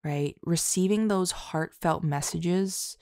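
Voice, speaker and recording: Slow, creaky-voiced woman chatting intimately. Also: on the low side, a little mellow, no room sound, studio quality. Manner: halting, self-deprecating, informal. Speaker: audio roleplay and ASMR creator. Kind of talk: casual, heartfelt solo chat to listeners.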